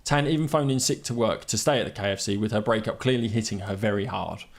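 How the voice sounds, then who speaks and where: A man's voice: fairly low pitch, fast, gravelly. A man co-hosting a podcast, reading a news story aloud in casual chat, stumbling over names and laughing along. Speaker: podcast co-host.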